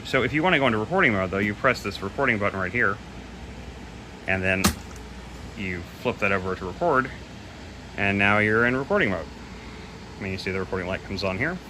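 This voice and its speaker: A man's voice: fairly low pitch, sharp tone. A man giving a casual, unscripted equipment demo in a loose, somewhat hesitant way, readily admitting what he doesn't know. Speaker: presenter demonstrating audio equipment. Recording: clearly noisy home recording.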